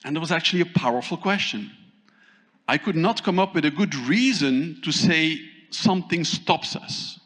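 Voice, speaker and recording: Keynote speaker, male, somewhat high voice, engaging and lively, asks the room questions; hall reverb on the mic. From Thailand. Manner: animated, asks the audience questions, pauses often. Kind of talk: conference keynote talk. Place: large conference hall.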